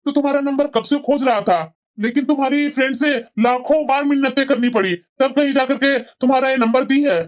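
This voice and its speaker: A woman's voice: high-pitched, steady tone, a little fast, lo-fi sound, lightly acted. A woman voicing an affectionate young man who pleads with an old college friend to meet him.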